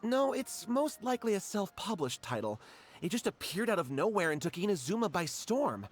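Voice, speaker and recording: A man's voice: high-pitched, very animated, normal speed. A man playing an earnest, jumpy, stubbornly hopeful struggling writer in a video game, fumbling and pleading. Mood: confiding. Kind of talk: animated game dialogue.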